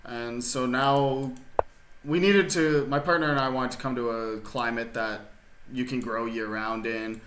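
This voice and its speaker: A man's voice: mid-range voice, steady tone, a little slow, noisy background. A man chatting casually with viewers while streaming a chess game from his rural desert home, rambling and laid-back, full of fillers and restarts. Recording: roomy echo.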